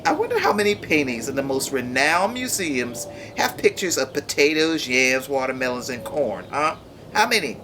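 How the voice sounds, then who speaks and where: A woman's voice: sits low, noisy background. A woman voicing a proud, touchy flower in a storybook garden, sassy and indignant.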